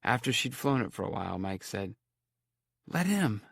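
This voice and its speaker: Guy narrating a novel, lowish gravelly voice, really dry studio sound. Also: fairly quick, a bit bright, no echo. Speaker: audiobook narrator. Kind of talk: scripted first-person story narration.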